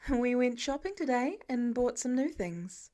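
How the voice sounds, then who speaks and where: A woman voicing an eager, earnest teenage boy with a playful streak, excitable and insistent, in an audiobook story. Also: fairly high pitch, unhurried. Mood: cheerful.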